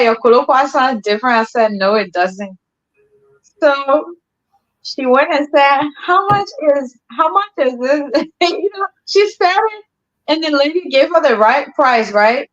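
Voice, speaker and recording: A Liberian woman hosting a casual live chat, telling stories in a relaxed way with colloquial slang and frequent laughter. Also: unhurried. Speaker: host. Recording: muffled low-fidelity stream audio.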